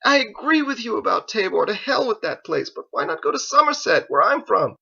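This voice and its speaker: A man's voice: sits high, very animated, brisk, gravelly, bright, forceful tone, breathy edge, lo-fi sound, voice pushed up, heavily acted. A man voicing an anxious, indignant male squire who protests and pleads with his master in an audiobook story. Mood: pleading. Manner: exclaiming, incredulous, protesting.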